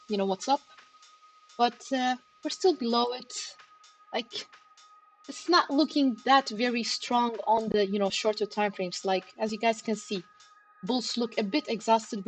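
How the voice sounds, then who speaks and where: A woman hosting a live market analysis stream, talking casually to her chat with lots of fillers like "you know" and "okay". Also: on the high side. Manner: chatty, many fillers, addresses chat directly. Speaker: crypto chart analysis livestream host. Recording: livestream with faint background music. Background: faint background music.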